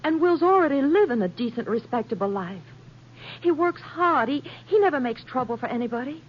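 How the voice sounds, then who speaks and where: Anxious young frontier wife. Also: female voice, high voice, expressive, fairly quick. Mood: pleading. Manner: faltering, earnest, emotional. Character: anxious young rancher's wife, earnest, pleading, devoted. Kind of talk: 1950s radio western acting. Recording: dull, noisy 1950s radio recording.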